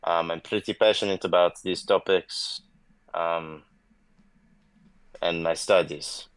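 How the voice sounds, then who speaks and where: A man's voice: low-pitched, fairly even intonation, slow, clean tone, no room sound, low-quality recording. A man from Hungary, speaking formally and hesitantly as a podcast guest.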